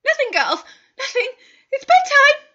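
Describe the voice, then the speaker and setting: Woman playing a shrill, sobbing Mrs Carter. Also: very animated, unhurried, pushed and bright, exaggerated voice. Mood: shrill, bossy. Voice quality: shrill, near tears. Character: shrill panicking middle-aged lady of the house. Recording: dull lo-fi recording.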